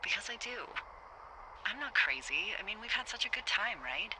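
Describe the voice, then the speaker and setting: A veteran woman lookout in a narrative video game, heard over a two-way radio with noticeable ambient noise behind her. She is teasing and warm-hearted, with an easy, conversational delivery that stays natural and unforced. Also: medium pitch, very animated, moderate pace, low-quality recording. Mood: wistful, intimate.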